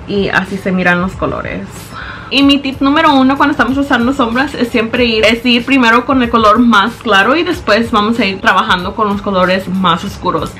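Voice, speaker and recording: A young woman talks through a makeup tutorial into the camera in her small bedroom. Her voice sits somewhat high and sounds bright and clear as she chats through each step, now and then slipping in an English word. Soft music plays quietly underneath. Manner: chatty, explaining step by step; English words slip into her Spanish. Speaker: beauty vlogger. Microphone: camera in front at close range.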